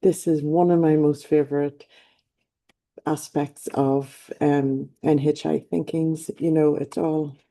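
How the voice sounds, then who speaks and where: Low-voiced upbeat Irish woman coach. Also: flat delivery, slow, dark tone. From Ireland. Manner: enthusiastic, upbeat. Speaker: personal development coach. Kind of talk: motivational talk to camera.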